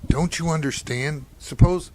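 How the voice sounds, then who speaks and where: A man's voice: on the high side, unhurried, a little mellow, close to an everyday voice, low-quality recording. A man playing an anxious, insistent farmer husband in a radio play, terse and urgent.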